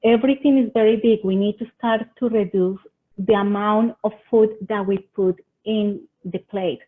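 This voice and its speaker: A woman's voice: medium pitch, fairly even intonation, moderate pace. A woman from Colombia with a Colombian Spanish accent, giving earnest home-living tips in a webinar presentation. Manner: earnest, gives advice in short phrases with some hesitations. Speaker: extension agent presenter. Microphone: video call. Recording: tinny phone-like video call audio.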